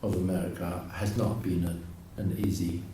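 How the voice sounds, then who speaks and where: A man's voice: sits low, little pitch movement, dark tone. Reflective man from Morocco, echoey room. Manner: halting, reflective, many restarts. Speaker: interviewee. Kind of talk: documentary interview. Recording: very echoey, distant mic, faint noise.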